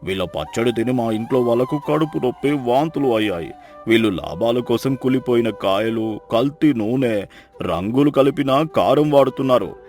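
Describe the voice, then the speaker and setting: A man's voice: mid-range voice, expressive, moderate pace, darkish tone, faint music behind, natural voice. Sneering village grocer played by a man. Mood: loud accusing. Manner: sneering, accusatory. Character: scheming, spiteful male village grocer, bullying and loud. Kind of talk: moral story dramatization.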